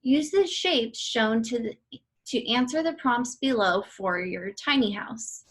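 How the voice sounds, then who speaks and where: A woman reading aloud an educational book for children in a deliberate, instructional way. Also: on the high side, expressive. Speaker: read-aloud reader for children.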